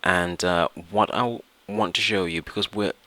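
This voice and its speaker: A man narrating a software tutorial, explaining step by step in an instructional way. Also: deep voice, fairly even intonation, normal speed. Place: indoors, voice-over to screen recording.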